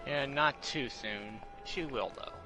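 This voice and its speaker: A man's voice: medium pitch, slow, pushed and bright. A man chatting casually on a headset as a co-op partner during a game stream. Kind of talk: casual chat. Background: game music.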